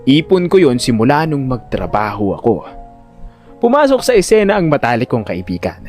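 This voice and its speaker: Man, dramatic first-person narration, conversational, very lively intonation, background music. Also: mid-range voice, normal speed. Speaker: narrator and lead character of audio drama.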